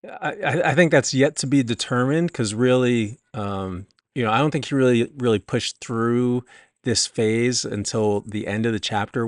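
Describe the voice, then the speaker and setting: A man being interviewed as an author and business coach, talking conversationally in a halting, reflective, explanatory way. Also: fairly low pitch, no room sound, studio quality.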